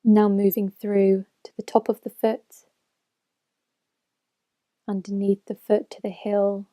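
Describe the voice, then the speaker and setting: Soothingly mellow woman guiding meditation. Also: medium pitch, little pitch movement, no echo. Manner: gentle, measured, unhurried instruction with soft phrase endings. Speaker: mindfulness meditation teacher. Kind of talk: slow guided meditation narration.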